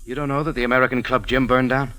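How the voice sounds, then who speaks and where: A man's voice: medium pitch, fairly even intonation, fast, a bit bright, no echo, close to an everyday voice, low-quality recording. A man acting a tough, wry amateur sleuth in an old-time radio detective drama, both narrating and in dialogue. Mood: pressing, dry. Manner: hardboiled, wry. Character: tough, wry male cafe owner turned amateur sleuth. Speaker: narrator and lead actor. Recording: vintage radio recording with music.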